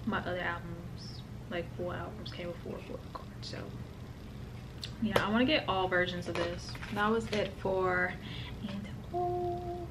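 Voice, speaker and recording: A young woman vlogger with a hoarse, raspy voice after a concert, chatting to camera in a gushing, chatty way full of "like" and "literally". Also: on the low side, lively intonation, a little slow. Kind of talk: casual vlog chat to camera. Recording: clear background ambience noise.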